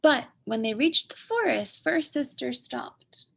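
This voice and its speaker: Lady doing storytime read aloud, slow n dramatic, bit of fry in her voice. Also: sits high, lively intonation, fairly bright tone. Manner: dramatic, with emphatic pauses and sound effects. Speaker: storyteller reading a picture book aloud. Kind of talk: expressive children's read-aloud narration.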